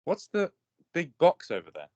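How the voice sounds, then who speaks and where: A man's voice: fairly high pitch, wildly swooping pitch, moderate pace, mellow, slight background noise. A man voicing a frightened young male paper ball who asks anxious questions and pleads for his life. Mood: nervous.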